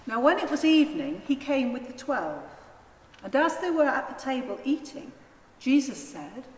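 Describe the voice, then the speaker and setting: High-voiced woman narrating in an echoey hall. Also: sing-song, moderate pace. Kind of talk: scripted narration of dramatised Gospel. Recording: echoey church hall, faint noise.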